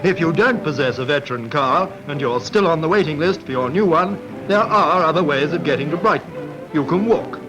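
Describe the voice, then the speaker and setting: A man's voice: a little high, lively intonation, fairly quick, low-quality recording. A man narrates a vintage sports film. His scripted 1940s commentary is wry and lightly humorous, and prominent music plays behind him on an old soundtrack. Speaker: newsreel-style sports narrator. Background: background music.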